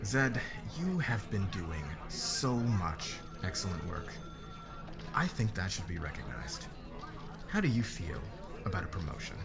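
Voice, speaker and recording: A man acting as a demanding, controlling boss in an audio roleplay, speaking with measured, commanding formality. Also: sits low, very animated, creaky, over music, exaggerated voice. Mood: cool, commanding.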